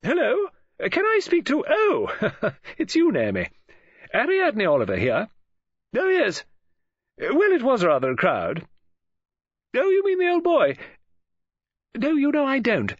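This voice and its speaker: A man voicing an excitable, scatterbrained middle-aged woman novelist in an audiobook, gushing and rambling in a flustered way. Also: very high-pitched, unhurried, pauses often, slightly rough, no echo, muffled recording, pitched above the performer's own voice, heavily acted. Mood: chatty, gushing. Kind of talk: audiobook character dialogue.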